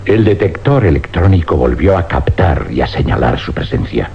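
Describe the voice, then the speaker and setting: An actor playing an intense, obsessed scientist, phrasing dramatically and emphatically in old radio drama style. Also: male voice, extremely low pitch, very animated, normal speed, gravelly, faint music behind, full character voice. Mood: grave, intense. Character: intense, obsessed scientist doctor, haunted and insistent. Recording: muffled, faintly humming old radio recording.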